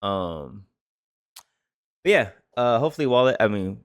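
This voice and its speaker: A man's voice: lowish voice, very animated, moderate pace, smooth, no room sound. A man co-hosting a music reaction show, chatting loosely and joking with some swearing, tired after a long day. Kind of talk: casual reaction chat.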